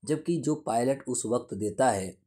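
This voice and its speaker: Mellow man teaching. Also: medium pitch, unhurried, husky. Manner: didactic, repeats and rephrases points. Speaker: teacher explaining current affairs. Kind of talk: explanatory lesson to camera.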